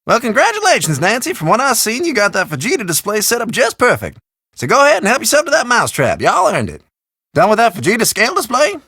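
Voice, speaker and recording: An actor playing a folksy, chatty small-town storekeeper in a video game, with an American country drawl and drawn-out homespun phrasing. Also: male voice, very high-pitched, huge pitch swings, sharp tone, husky, exaggerated voice. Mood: pleased. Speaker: storekeeper character. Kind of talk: video game character dialogue.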